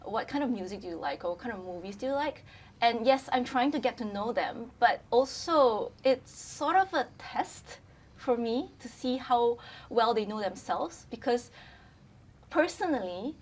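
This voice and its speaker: A woman's voice: a little high, lively intonation, normal speed. A young woman whose first language is Mandarin Chinese, talking as a podcast guest in a chatty, articulate way, with fillers like "um" and "like". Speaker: brand and communications lead, podcast guest. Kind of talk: casual interview chat.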